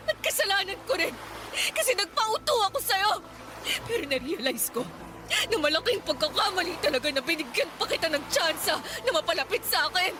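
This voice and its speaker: A woman's voice: very high-pitched, noisy background. An actress playing a betrayed young office woman, emotional and confrontational, in a Filipino radio drama. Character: pregnant, betrayed young office woman, wounded but feisty.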